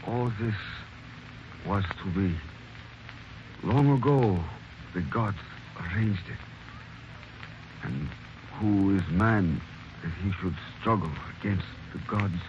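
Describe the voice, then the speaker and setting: An actor playing a solemn male servant who has kept an old oath, speaking in slow, measured 1940s radio drama style. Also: lowish voice. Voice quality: slow, measured, grave delivery. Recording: muffled old radio recording with hiss.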